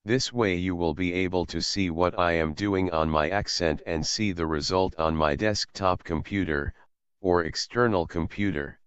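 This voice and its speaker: Deep-voiced man calmly explaining a tutorial. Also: normal speed, clean tone, no room sound. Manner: calm, methodical explaining. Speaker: assistive technology instructor. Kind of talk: screen-recorded tutorial. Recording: slightly dull, dry voice-over.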